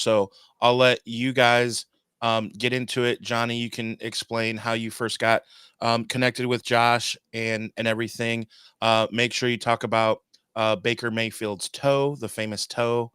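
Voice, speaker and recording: A man's voice: on the low side, fairly bright tone, smooth, dry recording, clean studio sound. A man hosting a podcast, handing the show over in an upbeat, loose way with frequent ums. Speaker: podcast host. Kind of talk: casual sign-off.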